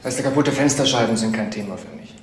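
An actor playing a talkative, philosophical young biology student in a crime drama, rambling and stammering, unfazed by an intruder. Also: male voice, mid-range voice, fast talker, slight background noise, lightly acted. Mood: casual, musing. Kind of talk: naturalistic German crime drama acting.

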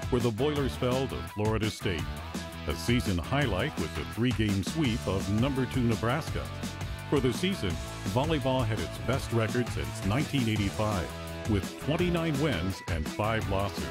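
A man's voice: mid-range voice, very animated, moderate pace. A man with an American accent reading a scripted newsreel narration. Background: music bed.

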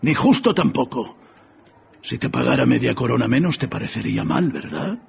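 A man playing a stingy, cold old boss in a radio drama, gravelly, curt and scornful. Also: mid-range voice, animated, moderate pace, highs cut off, exaggerated voice. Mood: grudging, scornful.

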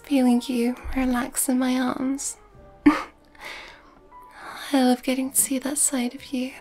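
A woman playing a nurturing, gently dominant, affectionate girlfriend in an ASMR roleplay, in a soft breathy whisper. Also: sits high, flat delivery, slow, soft background music. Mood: tender, reassuring.

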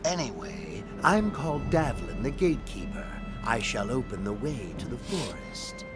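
An actor playing a solemn fantasy gatekeeper and guide who speaks in a formal, grave, dramatic way. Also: male voice, medium pitch, sing-song, normal speed, close to an everyday voice. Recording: voice over loud game music.

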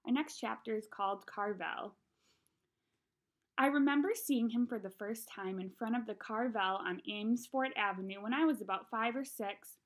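A woman's voice: a little high, normal speed. A young adult woman giving a storybook read-aloud, recorded in a room at home on a webcam at arm's length. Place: small home room, indoors.

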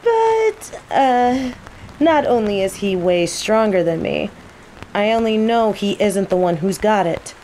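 An actress playing a teasing young nature mage and rival apprentice in a roleplay audio drama, playful and taunting. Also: female voice, medium pitch, takes it slowly, rough recording. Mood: smug, probing. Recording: steady rain noise behind. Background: rain.

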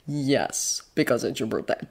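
A fond male guardian from an audio story, played by a woman narrator. The voice drops low and stays understated, with dry teasing and a gruff kind of affection. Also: normal speed, a little mellow, exaggerated voice. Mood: dry sarcasm.

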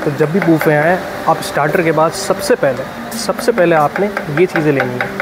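A man's voice: a little high, moderate pace, heavy background noise. A man giving casual food vlog commentary, mixing English phrases into his talk. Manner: Urdu mixed with English phrases. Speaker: food vlogger. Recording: loud music behind the voice. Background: loud music.

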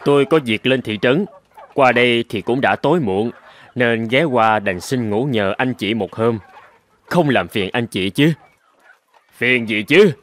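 A man playing a courteous country gentleman in an animated fairy tale, speaking politely and formally with deliberate phrasing. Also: medium pitch, no room sound, natural voice. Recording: background music under the voice.

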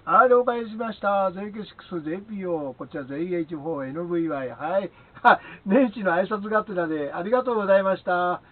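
A man's voice: high voice, quick pace. A man working as an amateur radio operator, chatting politely in an unscripted radio contact. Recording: thin narrowband radio audio, faint noise.

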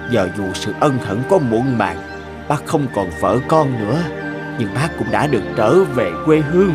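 Reflective middle-aged farmer, loud music behind. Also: male voice, medium pitch. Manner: reflective, storytelling, advising. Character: weathered middle-aged returned migrant, regretful, gentle tea farmer. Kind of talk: animated moral tale dialogue.

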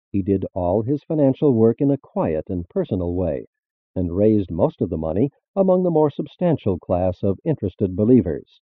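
A man reading a scripted religious narration in a formal, measured manner. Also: lowish voice, dry recording. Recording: muffled phone-like band-limited audio.

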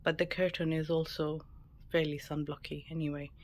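Female travel vlogger, low even voice, plain and matter-of-fact room tour, some ambient noise behind her. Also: moderate pace. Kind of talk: casual cabin tour narration. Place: mostly a small cruise ship cabin. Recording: clear background ambient noise.

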